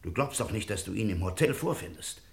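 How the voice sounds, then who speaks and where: An actor playing an insistent, impatient friend with a gravelly, husky voice in a German radio crime drama. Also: male voice, on the low side, animated, a little fast, full character voice. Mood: exasperated. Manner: urgent, admonishing, commanding. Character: insistent, impatient male friend urging caution.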